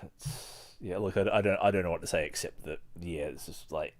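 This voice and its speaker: Low-voiced man hosting a podcast. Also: moderate pace. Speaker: podcast host. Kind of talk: reading a book aloud with casual commentary.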